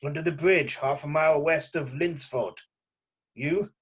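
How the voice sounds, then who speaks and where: A man playing a cautious, businesslike assassin in his late thirties at an amateur table read, clipped, deadpan and suspicious. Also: a little high, unhurried, slightly rough. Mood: puzzled. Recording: dull lo-fi voice recording.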